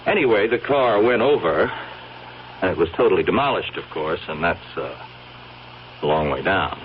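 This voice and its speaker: An actor with an American accent playing a folksy, slyly probing police sergeant in a radio drama, asking questions in a hesitant, seemingly casual way. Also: male voice, sits low, animated, moderate pace. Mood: grave, hesitant. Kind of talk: old-time radio drama acting. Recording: dull, noisy old radio recording.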